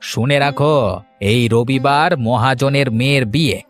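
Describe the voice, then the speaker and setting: Stingy village patriarch for a cartoon, played by a man: domineering, scolding, medium pitch, faint music bed. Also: fairly even intonation, normal speed, close to an everyday voice. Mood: irritated, stern. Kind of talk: Bengali cartoon voice acting.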